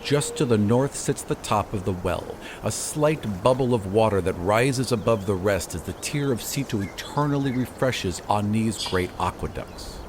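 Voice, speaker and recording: A man reading scripted fantasy narration in a measured, descriptive storytelling manner. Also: lowish voice, background noise.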